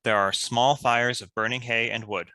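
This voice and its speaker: A man's voice: mid-range voice, fairly even intonation, moderate pace, pushed and bright, no echo, low-quality recording. A man reading stage directions as scripted narration for a screenplay.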